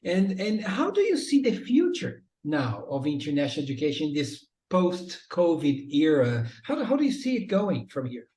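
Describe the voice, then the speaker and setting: A man's voice: on the high side, wildly swooping pitch, normal speed, few pauses, a little mellow, echoey room. A man hosting an interview, encouraging his guest with frequent murmurs of agreement and follow-up questions. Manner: encouraging, frequent backchannel "mm-hmm". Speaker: interview host. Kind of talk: casual video-call interview.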